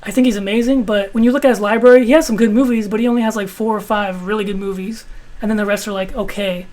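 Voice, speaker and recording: A man in his twenties with a high-pitched voice, reviewing his film collection in a rambling, casual way with some swearing. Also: fairly even intonation, fast talker, darkish tone. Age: 20s. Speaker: video host.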